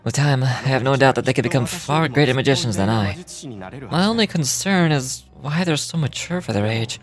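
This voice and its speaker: A kindly, modest magician played by a man reads from his diary as a game character. His delivery is reflective, with an occasional stumble, over soft background music. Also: medium pitch, normal speed, close to an everyday voice. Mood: earnest, fond.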